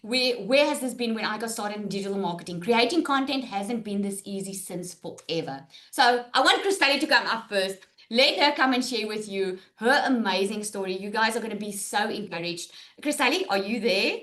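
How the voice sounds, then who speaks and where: A woman's voice: medium pitch, expressive, moderate pace, hardly any pauses, fairly bright tone. A woman hosting a live online webinar over a video call, upbeat and chatty with her viewers. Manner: upbeat, addresses viewers as guys. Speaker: webinar host.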